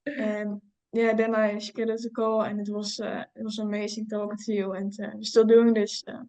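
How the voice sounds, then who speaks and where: A woman's voice: mid-range voice, monotone, normal speed, warm tone, poor audio. A young woman from the Netherlands with a Dutch accent, chatting casually as a video host. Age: young adult. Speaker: YouTube host and trader.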